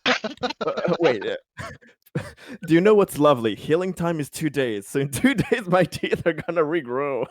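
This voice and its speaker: A man banters as a player in a tabletop game. His voice is on the high side and very lively in pitch. He is casual and jokey, doubling up words, on a dry close mic. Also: unhurried, no room sound. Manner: animated, jokey, repeats words.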